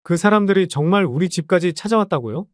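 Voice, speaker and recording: A man's voice: high voice, animated, quick pace, no room sound, studio quality. A man playing a resolute, protective adult son in an audio story drama. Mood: shocked.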